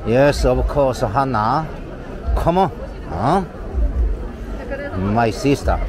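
A man's voice: medium pitch, lively intonation, extremely slow. A man with a Korean accent leading a live walking tour, talking in short broken English phrases with a constant "huh?" to viewers, walking a busy night street. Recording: outdoor street with crowd and music. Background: street crowd and loud music.

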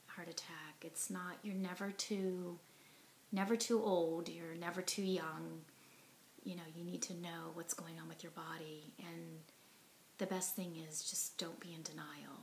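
A woman in her forties telling her own story to camera from her living room, earnest and reflective, often soft and breathy, with many ums and you knows. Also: fairly low pitch, steady tone, a little slow, slight background noise. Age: 40s. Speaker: heart attack survivor telling her story. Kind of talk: personal testimony to camera. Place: living room at home, indoors. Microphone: device camera at arm's length, sitting close.

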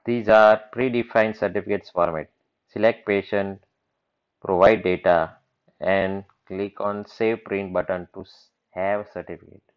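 A man with a very low voice explains software screens in a plain, matter-of-fact way. The sound is dull and lo-fi, like a basic computer mic. He moves step by step through each screen. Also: steady tone, unhurried, clear, resonant tone. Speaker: software demonstrator. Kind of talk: screen-recorded software walkthrough.

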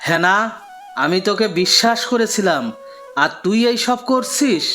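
A man acts a stern, weary dad in a family cartoon. His voice is high and bright, with faint music behind. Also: lively intonation, normal speed. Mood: stern, hurt. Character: stern, weary father of two daughters. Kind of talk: cartoon voice acting.

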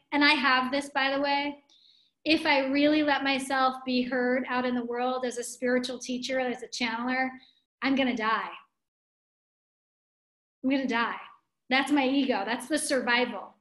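Expressive woman on a roomy webcam. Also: high-pitched. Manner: expressive, gesturing, thinking aloud. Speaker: spiritual teacher. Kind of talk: webinar talk with viewers. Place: living room at home. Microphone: webcam on video call.

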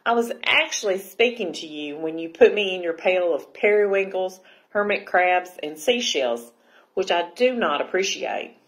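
A woman's voice: on the low side, expressive, moderate pace, a bit bright, poor audio. A woman voicing a tiny, polite young mermaid with a prim, precise manner in a children's storybook. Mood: indignant. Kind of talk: children's picture book read-aloud.

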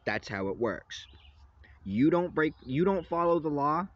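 A young man ranting loudly and rambling into his phone from inside a parked vehicle. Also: on the high side, lively intonation, a little slow, muffled recording. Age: young adult. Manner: loud, agitated, rambling rant. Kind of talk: ranting selfie vlog. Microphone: phone at arm's length.

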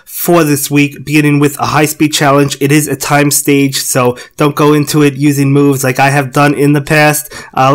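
Young guy w a cold, bit hoarse, rambles thru game commentary, voice sits kinda high. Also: fairly bright tone. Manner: rambling, many fillers and restarts. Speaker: gaming channel host. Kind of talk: casual gameplay commentary.

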